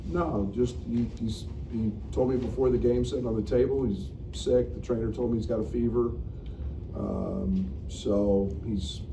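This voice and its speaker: A middle-aged man, a basketball coach, answering questions at a postgame press conference in a frank, halting way with many fillers. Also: mid-range voice, steady tone, creaky, far from the mic. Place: press room at a table. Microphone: table mic, recorded from the room. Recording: echoey room, picked up from afar, noisy.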